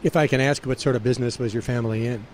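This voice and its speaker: A male radio host interviewing a guest, speaking in a measured way with frequent pauses. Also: mid-range voice, steady tone, darkish tone, a little hiss or hum.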